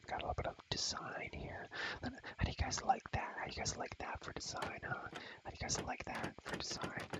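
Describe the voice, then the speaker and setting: Young guy doing whispery asmr game tour, super bright breathy sound, rambles n repeats stuff, slangy. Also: very high-pitched, rough recording. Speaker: ASMR creator showing a game world.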